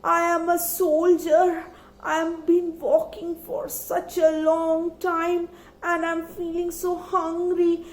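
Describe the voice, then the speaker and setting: A weary, crafty soldier from a children's folktale, as a woman storyteller puts him on. The voice is high and slow, with polite coaxing. It sounds in an echoey room. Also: completely flat delivery. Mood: weary, pleading. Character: hungry, tired soldier, polite and crafty man.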